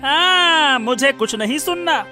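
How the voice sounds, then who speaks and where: A man's voice: very high voice, lively intonation, takes it slowly, sharp tone, soft background music, heavily acted. A man playing a rude, greedy village shopkeeper in a cartoon moral story, curt and scolding. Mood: angry, insistent.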